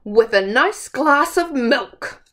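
A big, hungry brute of a storybook troll, played by a woman. He roars and growls his threats in a slow, swinging voice. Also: high-pitched, expressive, sharp tone, a little hiss or hum, voice pushed up, full character voice. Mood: menacing, hungry. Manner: roaring, growling. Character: big ugly hungry bullying male troll.

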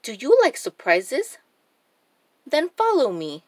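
Female children's storybook reader. High voice, unhurried, deliberate and expressive delivery. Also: dry recording. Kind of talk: expressive read-aloud for young children.

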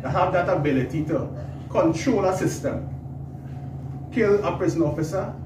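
A man's voice: high-pitched, moderate pace. A middle-aged man giving an earnest press conference statement, repeating words as he talks. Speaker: prison officers' association spokesman. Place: small indoor office room. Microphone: cluster of press mics on a table. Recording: echoey room, mic at a distance, noisy.

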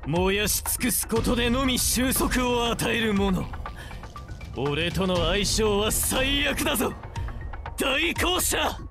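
An actor playing a brooding, vengeful antihero in an anime fighting game, declaiming in a grandiose, archaic manner. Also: male voice, a little high, animated, takes it slowly, pauses often. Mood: menacing, fervent. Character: theatrical, brooding, vengeful male antihero wreathed in flame. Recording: dramatic music behind.

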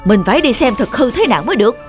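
A man's voice: very high voice, sing-song, racing. A man playing a greedy, bullying middle-aged village master in a cartoon folk tale, with a scolding, scheming manner. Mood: envious, scheming. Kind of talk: animated folk tale acting. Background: music.

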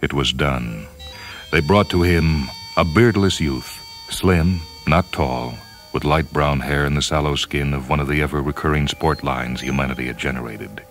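Male narrator, profoundly low voice, grave and measured storytelling over a music bed. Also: a bit bright. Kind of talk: dramatic scripted story narration.

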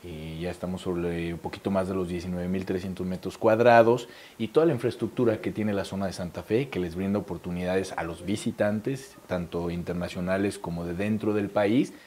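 A man in his thirties with a Mexican accent speaks in a low, mellow voice. He explains his event fluently and persuasively, dropping in small fillers. The sound is clean studio audio from a lapel mic. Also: steady tone, a little fast, few pauses. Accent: Mexican Spanish. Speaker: event organizer. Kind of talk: studio interview.

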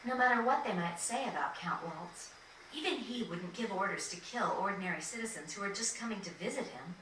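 A woman's voice: mid-range voice, expressive, fairly quick, a bit bright, close to an everyday voice. A woman playing a spirited, frank young female fighter in a video game, recorded off a TV. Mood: serious. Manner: teasing, frank. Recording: roomy, distant, faintly noisy TV capture.

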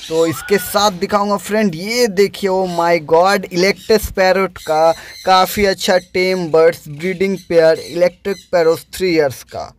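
A man's voice: sits high, moderate pace, few pauses, a little hiss or hum, poor audio. A young man narrating a casual vlog voice-over, chatty and calling the listener "friend" again and again. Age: young adult. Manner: chatty, repeats "friend" often. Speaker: bird breeder vlogger. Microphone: dubbed voice-over after filming, no mic on site.

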